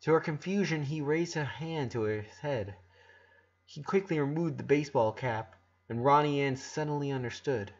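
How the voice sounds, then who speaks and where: A man's voice: a little high, normal speed, dull audio. A man narrating a story aloud as an amateur audiobook reader.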